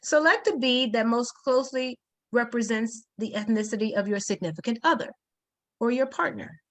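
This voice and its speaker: A woman's voice: on the high side, moderate pace, fairly bright tone. A woman leading a group workshop over a video call, firm and directive. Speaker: workshop facilitator.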